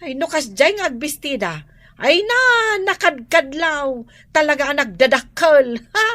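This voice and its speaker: Brash gossipy ilocano housewife, high voice, dry close sound, radio drama. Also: female voice, animated, measured pace, a bit bright, dry recording. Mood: mocking, gossipy.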